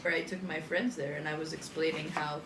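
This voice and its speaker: A woman's voice: sits low, brisk. A young woman, chatting and rambling animatedly through stories while cooking in her home kitchen. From Mexico. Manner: rambling, animated storytelling with swearing. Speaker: cooking vlogger. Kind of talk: casual chatty cooking vlog. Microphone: far camera. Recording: roomy kitchen sound from a distance, audible noise.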